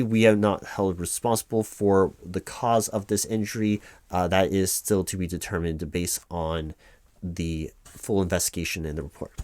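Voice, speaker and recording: A low-pitched, officious male company clerk, played by a man in an audio roleplay, recorded close and dry. He goes through official paperwork in a bureaucratic way, hedging and reassuring evasively. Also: no echo, close to an everyday voice. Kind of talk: one-sided ASMR roleplay, reading paperwork aloud.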